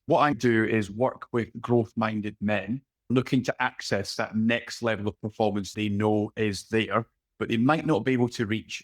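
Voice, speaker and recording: Scottish guy late 30s, gravelly voice, dry close sound, laid back storytelling. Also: on the low side, no room sound. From west of Scotland. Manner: relaxed, unhurried storytelling with fillers. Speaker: podcast guest, coach. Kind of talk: conversational storytelling.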